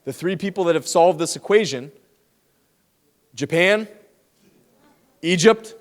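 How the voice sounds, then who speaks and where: A man with a slightly raised pitch lectures students, teasing them and addressing the room directly. His voice comes through a handheld microphone in a big lecture hall. A long reverb trails each phrase. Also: takes it slowly. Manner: lively, teasing, talks straight to the audience.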